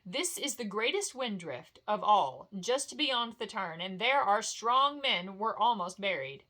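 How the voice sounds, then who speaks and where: Male elf scout, storybook, voiced by a woman: airy, cheerful, old-fashioned lyrical phrasing, bright delivery. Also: medium pitch, very animated, unhurried, full character voice. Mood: cheerful, teasing.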